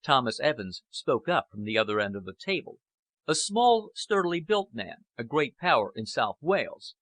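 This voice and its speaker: A man's voice: mid-range voice, big pitch swings, moderate pace, a bit bright, no room sound. A man reading a dramatic novel aloud as an audiobook narrator.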